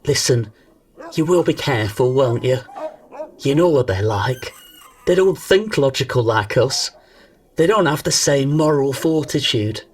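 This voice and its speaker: A man's voice: mid-range voice, expressive, measured pace, distant mic, lightly acted. A man acting as a chatty, doting, anxious mother in a comic radio play, gossipy and coaxing. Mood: fretful, coaxing.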